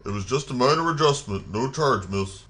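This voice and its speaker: A man's voice: mid-range voice, lively intonation, moderate pace, bright, forceful tone. A man playing a sly, scheming car mechanic with a fawning, falsely apologetic manner in a story. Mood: casual.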